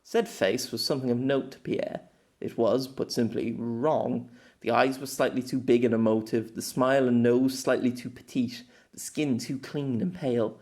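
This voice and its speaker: A man narrating a story as an amateur audiobook reader, theatrical, wry and expressive. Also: mid-range voice, moderate pace.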